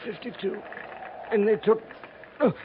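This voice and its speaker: An actor playing a gravely wounded wagon train survivor in a 1950s radio western, weak and dazed, speaking in broken, halting phrases. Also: male voice, sits high, steady tone. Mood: dazed, dying. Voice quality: weak, faltering voice. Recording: tinny, noisy 1950s radio transcription.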